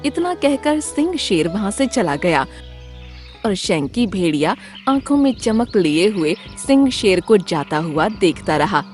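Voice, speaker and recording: A woman's voice: medium pitch, big pitch swings, measured pace, darkish tone, soft background music. A woman narrator reading a scripted children's animal story.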